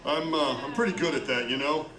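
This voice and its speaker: A man's voice: fairly high pitch, fairly quick, a crowd in the background, close to an everyday voice. A man playing a ghoulish, self-pleased coroner in radio theater, talking in a droll, hesitant way. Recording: echoey room.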